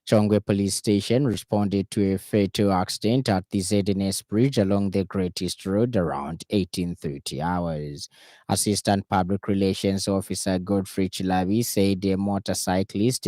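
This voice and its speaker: Deep, mellow Zambian male newsreader. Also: no room sound. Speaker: radio news reader. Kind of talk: formal scripted news bulletin reading.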